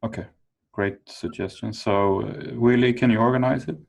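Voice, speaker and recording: A man's voice: fairly low pitch, fairly even intonation, normal speed, gravelly, a little mellow. A man chairing an informal work conference call, hesitantly thinking aloud. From Sweden. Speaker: meeting chair.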